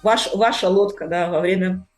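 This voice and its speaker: A woman's voice: lowish voice, fairly even intonation, normal speed, soft background music. A woman hosting a podcast discussion, explaining ideas casually and often searching for her words. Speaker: podcast host.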